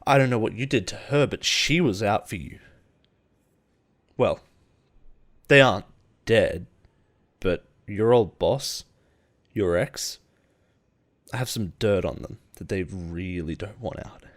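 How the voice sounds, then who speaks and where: Man acting as obsessive male boss, fairly low voice, unhurried halting speech with heavy pauses, possessive, intimate roleplay monologue. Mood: menacing, protective. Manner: slow, halting delivery with long pauses. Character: obsessive, possessive male boss confessing love to his assistant. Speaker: voice actor in audio roleplay.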